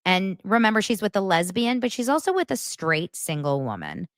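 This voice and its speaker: A woman who is a podcast guest and hosts her own daily show, chatting casually and telling gossip stories in an animated way. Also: mid-range voice, normal speed, no room sound, studio quality. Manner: animated storyteller who acts out quotes.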